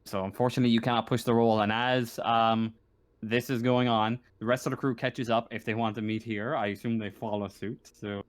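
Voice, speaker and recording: A man running a tabletop roleplaying game, narrating and explaining rules in a halting way, often repeating himself. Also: mid-range voice, level tone. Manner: halting, often repeats and corrects his words. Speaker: game master.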